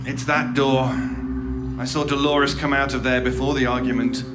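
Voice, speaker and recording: A man plays a reluctant, anxious everyman in a horror audio drama. He stammers and pleads with wry asides. Music runs clearly underneath. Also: medium pitch, flat delivery, moderate pace, natural voice. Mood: uneasy. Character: nervous, wisecracking, reluctant male employee pleading for caution.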